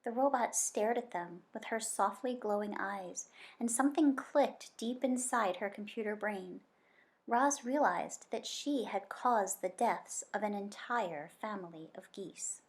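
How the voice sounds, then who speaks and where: A woman reading a children's book aloud as an expressive story narrator. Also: medium pitch, measured pace. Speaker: story reader.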